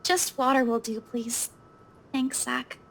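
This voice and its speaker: A withdrawn young woman from a visual novel, her voice high. She sounds shaken and timid, faltering and apologizing as she goes. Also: level tone, slow, gravelly, a bit bright, full character voice, low-quality recording. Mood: subdued.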